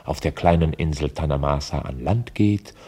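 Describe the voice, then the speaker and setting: A reflective novelist narrates in a very low, husky voice with a dark, mellow tone. The delivery is measured and deliberate, as scripted radio drama storytelling. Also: male voice. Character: worried, reflective male novelist telling his story. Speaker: author-narrator.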